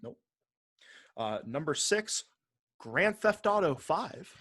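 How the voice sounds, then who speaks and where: A man's voice: a little high, big pitch swings, slow pace, fairly bright tone, no echo, clean studio sound. A man co-hosting a podcast, chatting casually with some swearing as he runs through a ranked list. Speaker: podcast co-host. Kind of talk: casual chat, reading out a ranked list with commentary.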